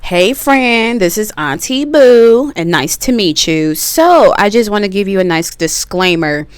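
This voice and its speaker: A middle-aged woman giving a tarot reading in a chatty, slangy way, a little hoarse from a cough. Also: mid-range voice. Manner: slow, relaxed, chatty with slang. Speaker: tarot reader. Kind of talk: pick-a-card tarot reading.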